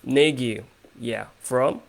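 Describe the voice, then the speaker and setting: A man's voice: mid-range voice, takes it slowly, vocal fry. A man with an Indonesian accent, hosting a casual English conversation practice on a video call, hesitant and full of fillers. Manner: hesitant, many fillers and restarts.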